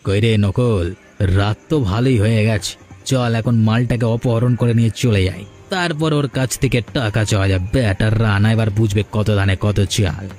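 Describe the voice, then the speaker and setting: A man's voice: on the low side, normal speed, a little mellow, faint music behind, lightly acted. A man voicing a crude, envious, bossy gang boss in a village folk-tale cartoon. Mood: menacing, gloating.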